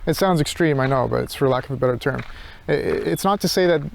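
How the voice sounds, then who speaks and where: A man's voice: medium pitch, fast, creaky, slight background noise. A man thinking aloud in an unscripted reflective monologue, hesitant and searching, often circling back over his phrases. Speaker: philosophy vlogger.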